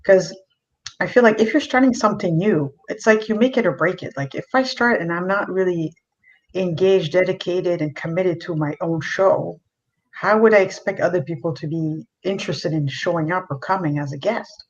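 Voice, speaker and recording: A woman's voice: on the low side, normal speed. A woman chatting casually as a guest on a talk panel. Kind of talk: casual chat. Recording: muffled lo-fi line, clear background noise.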